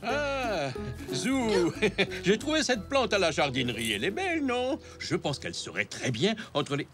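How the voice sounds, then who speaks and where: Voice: mid-range voice, wildly swooping pitch, measured pace. A performer voicing a cheerful, chatty zebra who loves gardening, in a French preschool cartoon. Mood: cheerful, surprised. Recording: music behind the voice.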